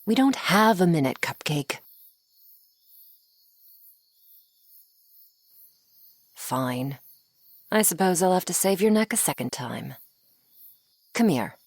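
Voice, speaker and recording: A woman's voice: lowish voice, very animated, measured pace, no echo, full character voice. A woman playing a flirty, sardonic female vampire in an ASMR roleplay, taunting the listener playfully up close. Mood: smug, playful. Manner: sardonic, playful, taunting, with pet names and sighs.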